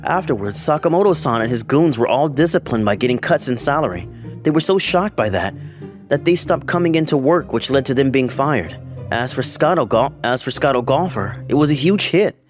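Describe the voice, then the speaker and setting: A man's voice: medium pitch, animated, fairly quick, soft background music, lightly acted. A man playing a polite, earnest young businessman who narrates his own story in a manga dub. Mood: calm narration.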